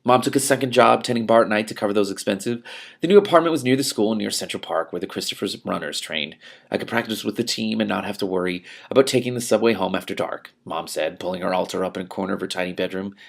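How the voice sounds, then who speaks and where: Male book reader, low register with heavy vocal fry, expressive delivery, given to off-the-cuff reactions. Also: quick pace. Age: adult. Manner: animated, reacts aloud to the text and breaks off to comment. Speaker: reader and commentator of a book read-along show. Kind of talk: expressive read-aloud of a novel with spontaneous asides.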